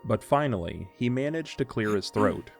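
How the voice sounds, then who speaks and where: A man narrating a scripted audio drama in a measured, literary storytelling delivery. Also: medium pitch, expressive, faint music behind.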